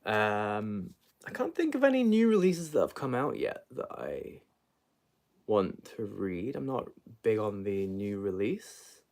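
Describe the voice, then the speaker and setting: Low-voiced man rambling casually about books. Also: big pitch swings, slow, gravelly, darkish tone. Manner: rambling, hesitant, trails off mid-thought. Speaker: book vlogger hosting a livestream. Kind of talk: unscripted casual book chat.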